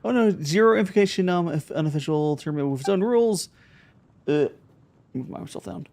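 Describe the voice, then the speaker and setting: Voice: medium pitch, animated, brisk, creaky, darkish tone. A streamer talking casually while playing a card game, thinking aloud with many fillers and repeated words. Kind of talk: casual live gameplay commentary.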